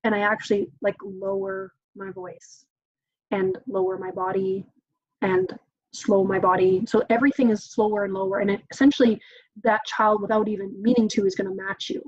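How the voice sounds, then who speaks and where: A woman's voice: medium pitch, normal speed, gravelly, slightly rough, dry recording. Chatty woman teaching caregivers, dull recording. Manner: chatty, acts out parent-child exchanges, frequent "um"s. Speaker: parenting workshop presenter. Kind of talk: informal teaching talk.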